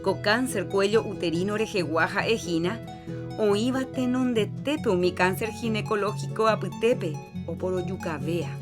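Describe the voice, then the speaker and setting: A woman's voice: mid-range voice, normal speed. A woman hosting a radio program, presenting scripted health announcements. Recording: music behind the voice.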